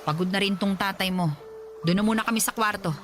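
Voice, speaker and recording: A woman's voice: mid-range voice, steady tone, fast talker, a bit bright, faint music behind, natural voice. An actress playing a stressed, middle-aged mother who scolds her daughter. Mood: worried. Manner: scolding, exasperated.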